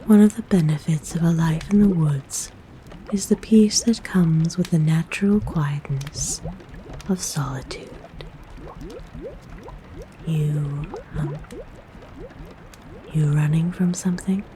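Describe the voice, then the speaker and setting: A woman playing a kind, motherly forest witch in a soft, breathy, gentle whisper for an ASMR roleplay. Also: deep voice. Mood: gentle, reassuring. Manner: gentle, soothing, coaxing, unhurried. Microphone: close microphone.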